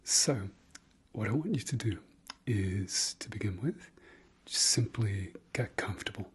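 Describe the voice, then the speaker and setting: A man leading an ASMR guided meditation in a soft, breathy whisper, gentle and halting, with pauses and trailing repeats. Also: fairly low pitch, expressive.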